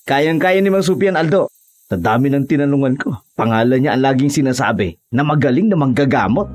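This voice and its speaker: A man's voice: medium pitch, animated, a little fast, a little mellow, close to an everyday voice. Man playing an eager, loyal younger relative. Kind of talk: Tagalog horror audio drama acting.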